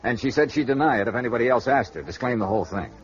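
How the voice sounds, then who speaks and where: A wry, easygoing tough-guy investigator, played by an actor, narrates and trades dry remarks, his pitch moving a lot as he talks. The recording is dull and lo-fi, like a vintage broadcast. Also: expressive, racing, lightly acted. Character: wry, tough adult male insurance investigator. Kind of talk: 1950s radio noir narration. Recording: dull old radio broadcast.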